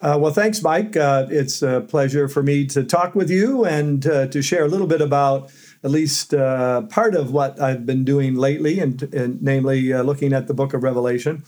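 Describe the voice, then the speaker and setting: A middle-aged man, a seminary professor, telling stories as a podcast guest in a loud voice with fillers. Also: medium pitch, moderate pace. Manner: loud voice, storytelling with fillers and light laughs. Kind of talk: conversational interview answers.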